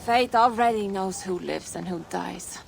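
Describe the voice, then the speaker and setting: A woman's voice: mid-range voice, unhurried, vocal fry, a little hiss or hum, rough recording. A woman playing a cold, cryptic, fatalistic member of a Viking warband in a video game, speaking drily and ominously. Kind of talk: video game cutscene acting.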